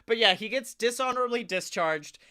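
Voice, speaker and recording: A male comedy podcast co-host with a high, forceful voice. He talks casually and swears freely as he pitches a story in a jokey, animated way. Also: a little fast.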